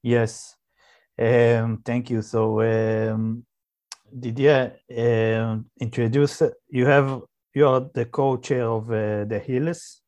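A man hosting an interview, asking questions haltingly and repeating phrases as he searches for words. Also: medium pitch, flat delivery, darkish tone, no room sound, dull audio. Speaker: interview host.

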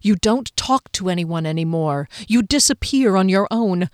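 A woman voicing a proud male fantasy captain in an audiobook, formal, deliberate and intense, holding down his anger. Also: mid-range voice, expressive, no echo, studio quality, natural voice. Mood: frustrated, cold.

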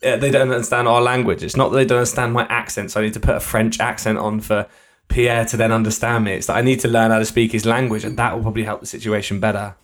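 A young man from the UK, co-hosting a podcast in casual, animated chat. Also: fairly low pitch, fast, few pauses. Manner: chatty, animated, bursts of laughter. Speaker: podcast co-host.

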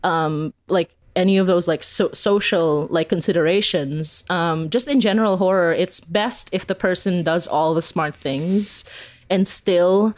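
A woman's voice: on the low side, measured pace. A woman being interviewed as a podcast creator, chatting informally in a rambling, giggly way with lots of filler "like". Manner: rambling, informal, heavy use of "like"; giggly, laughs often while talking. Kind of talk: casual, chatty interview talk.

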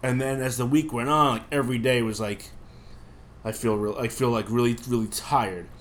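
A man's voice: mid-range voice, fairly even intonation, normal speed, a bit bright. A man with a cough, sick, co-hosting a podcast and rambling casually with frequent swearing. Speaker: podcast co-host. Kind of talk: casual chat.

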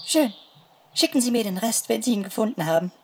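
A woman's voice: on the high side, animated, brisk. A woman playing a bitter, scornful wife, snapping brusquely in a comic audio drama. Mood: scornful, irritated. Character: bitter, scornful wife fed up with cheating husband. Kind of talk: comedic audio drama acting. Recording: noisy track with clicks.

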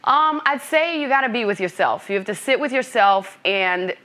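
A middle-aged woman from Brooklyn, a coach being interviewed, speaking in a thoughtful, measured way. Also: a little high, animated, a bit bright. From Brooklyn, New York. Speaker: coach and author. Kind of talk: interview conversation.

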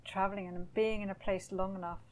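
A woman's voice: medium pitch, flat delivery, brisk. A woman interviewing a guest, asking open questions and encouraging her with frequent short replies. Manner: warm, encouraging listener with frequent backchannels. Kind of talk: conversational interview.

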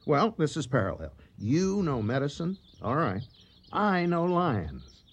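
An actor playing a stubborn, quick-tempered colonial railroad engineer in a radio drama, speaking in an agitated, insistent way. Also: male voice, fairly high pitch, sing-song, breathy edge, highs cut off, full character voice. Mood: angry, scornful.

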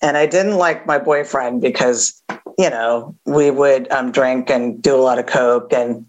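A woman with a gravelly voice giving a candid recovery share, halting with many fillers and a wry edge. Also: extremely low pitch, darkish tone, lo-fi sound. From Southern California. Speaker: AA speaker.

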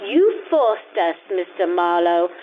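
A woman's voice: lowish voice, very animated, slow pace. A woman playing a menacing, imperious fortune-teller crook with stately delivery in an old-time radio drama. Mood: cold menace. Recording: thin phone-like sound, faint noise.

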